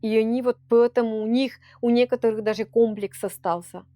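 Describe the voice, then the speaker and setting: A woman's voice: on the high side, steady tone, brisk, dry recording, muffled recording. A woman speaking Russian with a Komi-Permyak accent, a native Komi-Permyak speaker, giving earnest, heartfelt interview answers as a children's folk ensemble leader.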